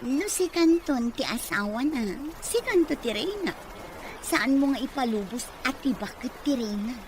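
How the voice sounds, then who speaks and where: Casting: a conniving girlfriend's confidante, high-pitched, Filipino accent, radio drama. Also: lively intonation, moderate pace. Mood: gleeful, goading. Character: scheming female confidante egging on a friend. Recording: noisy radio drama with ambient sound.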